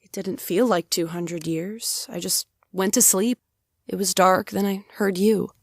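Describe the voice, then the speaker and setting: A woman's voice: mid-range voice, steady tone, measured pace, no echo, studio quality. A young woman playing a bewildered, earnest teenage girl from the past in a hobby audio drama. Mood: puzzled. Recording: music playing behind the voice.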